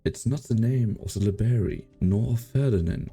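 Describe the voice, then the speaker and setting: A man playing a grave, husky-voiced part and narrating in a fan-dubbed game drama. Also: fairly low pitch, moderate pace, warm tone, soft background music. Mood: grave, steady.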